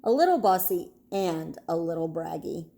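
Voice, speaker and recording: A woman's voice: fairly low pitch, animated, moderate pace, mellow, voice pushed down. A woman voicing an eager, easily indignant six-year-old girl bear cub in a children's storybook. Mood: chatty.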